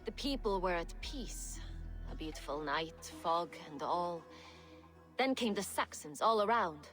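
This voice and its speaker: A woman's voice: fairly low pitch, lively intonation, unhurried, a bit bright, faint music behind. An actress playing a wry, opportunist Viking warrior woman in a video game, speaking dryly and frankly. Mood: matter-of-fact. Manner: dry, frank, unbothered. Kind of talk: dramatic game dialogue acting.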